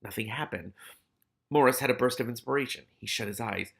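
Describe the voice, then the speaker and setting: A man's voice: medium pitch, a little fast, gravelly, fairly bright tone. A man reading a novel aloud expressively, with casual, candid asides of his own.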